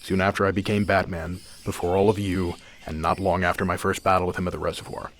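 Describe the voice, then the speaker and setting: A man's voice: low voice, level tone, fast talker, a bit bright. A man playing a stern, secretive vigilante father in an amateur superhero radio drama, stubborn and protective. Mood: grave, recounting. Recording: music bed behind the voice.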